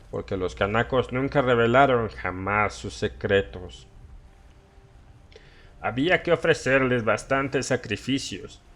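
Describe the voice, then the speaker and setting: An old, drink-sodden villager, acted out by a male narrator tells a tale of small-town horror. He is haunted and agitated, delivering it as a dramatic audiobook monologue at a middling male pitch. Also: a bit bright, close to an everyday voice. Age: older.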